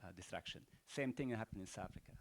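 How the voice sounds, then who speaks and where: Croatian-accented older man, low voice. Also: fast. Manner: rambling, peppered with "you know", rhetorical questions. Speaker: entrepreneur giving closing remarks. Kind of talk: unscripted speech at a panel event.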